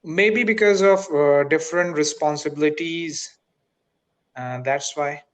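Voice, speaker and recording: A man's voice: on the high side, measured pace. Polite man with Pakistani accent. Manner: polite, steady, frequent "uh" fillers. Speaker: English teacher, channel host. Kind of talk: casual video-call conversation. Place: school, indoors. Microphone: video call.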